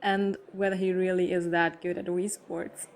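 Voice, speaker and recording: A woman's voice: on the low side, level tone, moderate pace, gravelly. A woman playing an eager, easily impressed assistant who is keen and apologetic, in a fan audio drama.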